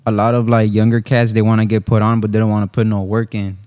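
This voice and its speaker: A man's voice: lowish voice, level tone, quick pace, a little mellow, smooth. A man in his early twenties talking casually as a podcast guest, slangy and often checking that he is understood. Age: 20s. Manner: slangy, repeats "you know what I mean" and "like". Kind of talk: casual chat.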